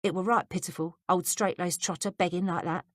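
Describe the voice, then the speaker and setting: A woman's voice: fairly low pitch, fairly even intonation, a little fast, no room sound, clean studio sound, natural voice. Woman voicing a sly young servant lad. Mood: gossiping. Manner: blunt working-class speech.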